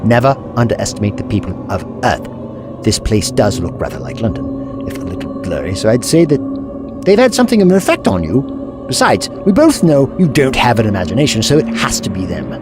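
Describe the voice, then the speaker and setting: Man playing an animated, wry eccentric Doctor. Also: mid-range voice, a little fast. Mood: wry, probing. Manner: wry, theatrical, articulate. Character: eccentric, witty, brooding time-travelling alien Doctor. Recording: music behind.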